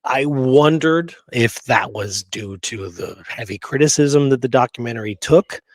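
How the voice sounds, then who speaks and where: A man's voice: medium pitch, animated, unhurried, no echo. Man in casual history talk, dry close sound. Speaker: host of a history discussion show.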